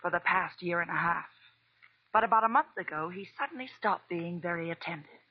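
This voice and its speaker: A woman's voice: lowish voice, lively intonation, a little fast, slightly rough. An actress playing a snappy, hard-edged, defiant young gangster's girlfriend in a 1940s radio drama. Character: brassy, defiant woman, counterfeiter's jilted girlfriend. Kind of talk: 1940s radio noir acting. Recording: muffled old radio recording.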